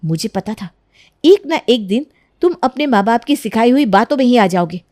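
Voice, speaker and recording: A scheming young daughter-in-law from a cartoon family drama, acted by a woman. Her voice sits high, and she argues in an accusing, self-righteous, melodramatic way. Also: expressive, normal speed, a little mellow, no echo, clean studio sound, close to an everyday voice. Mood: indignant. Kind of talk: Hindi animated moral-story dramatization.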